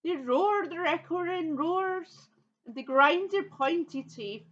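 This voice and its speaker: Woman voicing a high-pitched chirpy bird. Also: lively intonation, takes it slowly, a bit bright, lo-fi sound, full character voice. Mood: gleeful warning. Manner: repeats phrases, clipped bird-like speech. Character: chirpy squawking sea bird, repeating itself, cautioning travellers. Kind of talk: children's audio play.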